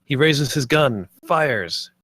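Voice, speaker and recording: A man's voice: mid-range voice, expressive, takes it slowly, a bit bright. A man reading stage directions as scripted narration at a screenplay table read.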